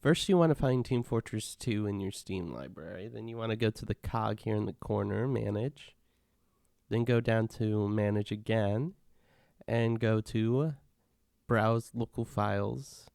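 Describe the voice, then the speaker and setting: Clear, low-voiced man giving a tutorial. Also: unhurried, darkish tone, no echo, studio quality. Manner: plain, instructional. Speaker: tutorial narrator. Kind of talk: casual step-by-step software tutorial.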